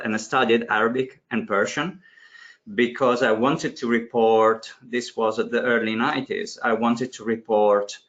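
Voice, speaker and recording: A man in his forties talks slowly while introducing himself as a course leader. He restarts his sentences and fills the gaps with hesitations. The audio is dull and lo-fi. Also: on the low side, a bit bright. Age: 40s. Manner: halting, frequent restarts and fillers.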